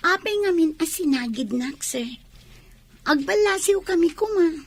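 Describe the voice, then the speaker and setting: A woman's voice: extremely high pitch, expressive, measured pace, no room sound, exaggerated voice. A woman with an Ilocano accent playing a coy, scheming young college girl in a radio drama. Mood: complaining.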